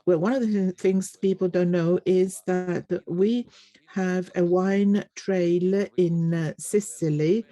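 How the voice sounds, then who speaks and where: An interpreter renders a live panel into English, speaking slowly and halting over frequent fillers. The voice is mellow and dark. The sound is close and dry, with no room echo. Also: medium pitch, fairly even intonation. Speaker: conference interpreter. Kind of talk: simultaneous interpretation of a panel discussion.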